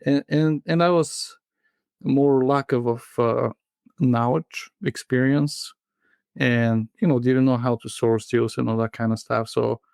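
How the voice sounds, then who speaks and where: A man in his thirties from Bulgaria with a Bulgarian accent, a guest in a casual interview who talks in a halting way, full of fillers and restarts. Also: medium pitch, vocal fry, a little mellow, clean tone, dry recording, clean studio sound. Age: 30s.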